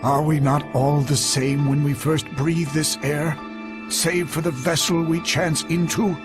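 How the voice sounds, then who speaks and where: A man's voice: a little high, level tone, measured pace, lightly acted. A man playing a wise, sorrowful old guardian in a video game, speaking in a formal, philosophical way. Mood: solemn reflection. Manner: formal, philosophical, solemn speech. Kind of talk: dramatic video game voice acting. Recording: music under the voice.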